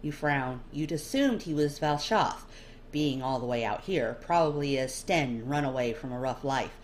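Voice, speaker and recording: Amateur audiobook narrator, female, low register, expressive fiction read, slight background noise. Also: moderate pace. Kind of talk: expressive scripted second-person story narration.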